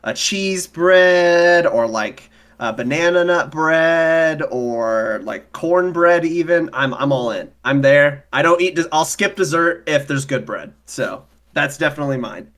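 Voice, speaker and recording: A man on a video call with a somewhat high voice who rambles cheerfully. He stumbles and restarts often as he talks, and his tone stays light and upbeat. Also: expressive, a little slow, a bit bright. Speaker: guest player. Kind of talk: casual chat on a video call.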